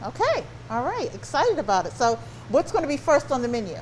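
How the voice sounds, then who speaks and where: A woman's voice: sits high, big pitch swings, a little fast, a little hiss or hum, poor audio. A woman hosting a casual on-location interview in a kitchen. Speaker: host.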